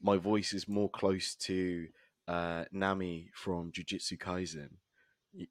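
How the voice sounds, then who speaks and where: A man with a hoarse, husky voice co-hosting a casual podcast and laughing often between remarks. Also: deep voice, level tone, slow, no echo, studio quality. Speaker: podcast co-host. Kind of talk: casual chat.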